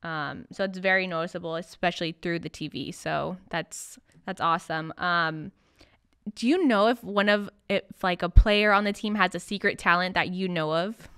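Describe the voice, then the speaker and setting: A woman's voice: lowish voice, moderate pace, fairly bright tone, clean tone. A woman hosting an interview in a casual, chatty conversational style. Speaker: interviewer, host.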